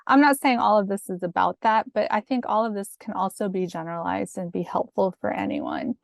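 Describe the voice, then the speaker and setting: A calm, soft-spoken woman giving chatty career advice over slides, with fillers and little laughs. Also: medium pitch, fairly quick, gravelly, dry recording, clean studio sound. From family from Mexico. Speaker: academic career coach. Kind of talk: casual instructional talk over slides.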